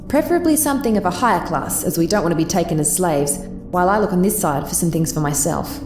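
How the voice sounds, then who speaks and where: A woman playing a lively-pitched, composed young adventuress. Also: quick pace, lightly acted. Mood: assured. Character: confident, well-spoken young female time traveller, academy student. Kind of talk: fan audio drama acting. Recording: music underneath.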